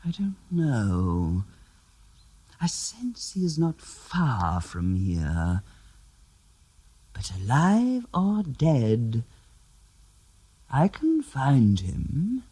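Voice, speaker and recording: Pompous clairvoyant: breathy, theatrical, wildly swooping delivery, unhurried. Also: male voice, mid-range voice, huge pitch swings, no room sound, full character voice. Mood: hushed. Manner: grand and self-important, sliding into hushed menace. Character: smug theatrical male clairvoyant, middle-aged. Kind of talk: radio drama acting.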